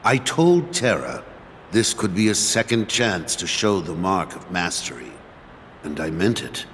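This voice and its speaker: A man playing a stern but caring middle-aged master in a fantasy game cutscene, speaking in formal, solemn, measured phrases. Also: low voice, big pitch swings, slight background noise, heavily acted. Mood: grave, concerned. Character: stern, caring older master of young warriors. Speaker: mentor and master. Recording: music loud behind the voice.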